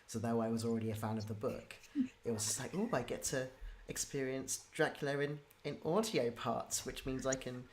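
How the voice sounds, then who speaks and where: A man's voice: mid-range voice, fairly even intonation, normal speed, hardly any pauses, slight background noise. A man hosting a casual interview, talking in an informal, rambling and enthusiastic way. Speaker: interview host.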